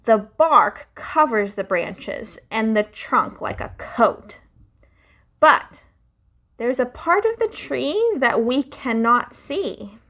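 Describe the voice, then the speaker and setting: A young woman reading a picture book aloud to children in a slow, sing-song storytelling way. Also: on the high side, expressive, a bit bright.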